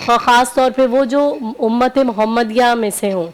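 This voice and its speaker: A woman's voice: a little high, fairly even intonation, normal speed. A woman scholar giving religious teaching on a talk show, explaining point by point and repeating key phrases. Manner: didactic, explaining in numbered points with repetition. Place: TV broadcast studio.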